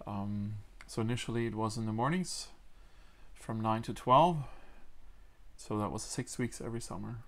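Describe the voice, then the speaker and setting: A man in his forties from Mexico with a Mennonite Low German accent, Low German his first language, talking hesitantly as a guest in a casual interview with many fillers and restarts. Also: moderate pace, fairly bright tone. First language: Plautdietsch. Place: small wood-paneled room indoors.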